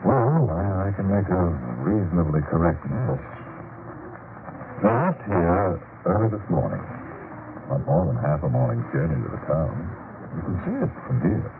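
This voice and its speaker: An actor in a 1940s radio drama playing a worried disciple, earnest and theatrical. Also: male voice, low-pitched, big pitch swings, normal speed. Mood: anxious. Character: worried male disciple watching for missing companions. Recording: noisy tinny 1940s radio broadcast.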